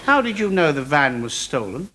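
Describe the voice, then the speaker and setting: An actor playing a petulant middle-aged English husband in a TV sitcom, talking in an exasperated, sarcastic, emphatic way. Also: male voice, on the high side, sing-song, moderate pace. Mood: indignant. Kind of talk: TV sitcom acting. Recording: faintly noisy old TV sitcom copy.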